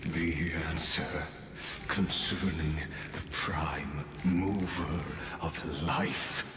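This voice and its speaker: An actor playing a cold, scholarly scheming villain in a game, speaking formally and deliberately with polite menace and condescension. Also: male voice, rumbling low, fairly even intonation, background noise, full character voice. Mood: coolly condescending. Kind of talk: theatrical game dialogue.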